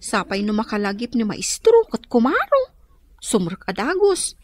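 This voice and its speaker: An actress with an Ilocano accent playing a lively young student in a radio drama. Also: female voice, fairly high pitch, normal speed, no room sound. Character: lively young female student, a classmate at market.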